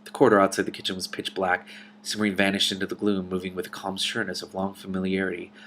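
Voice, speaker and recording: A man reading a novel aloud and adding his own commentary, with an expressive, animated delivery. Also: sits low, fast talker, slight background noise. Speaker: reader and commentator.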